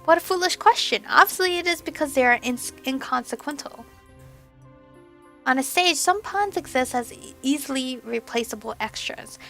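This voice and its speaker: A woman voicing a sly, scheming male sorcerer villain from a visual novel, with a smooth, mocking and condescending delivery. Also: sits high, expressive, moderate pace, a bit bright, faint music behind, full character voice.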